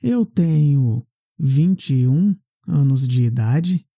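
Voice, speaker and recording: Woman doing a language drill, super slow careful phrases, low voice, really dry sound. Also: expressive, creaky, mellow, no echo. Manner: deliberate, carefully separated phrases. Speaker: language teacher. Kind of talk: slow, repetitive question-and-answer language drill.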